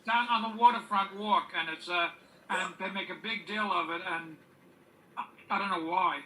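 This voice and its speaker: A man chatting casually on a video call, halting and repeating his words. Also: high-pitched, sharp tone. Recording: noisy video call audio.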